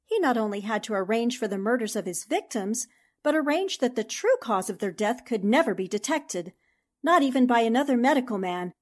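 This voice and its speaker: An actress playing a brave, sharp young newspaper reporter in a 1940s-style radio mystery, speaking in an earnest, theatrical way. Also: female voice, fairly high pitch, animated, a little fast. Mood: puzzled, uneasy.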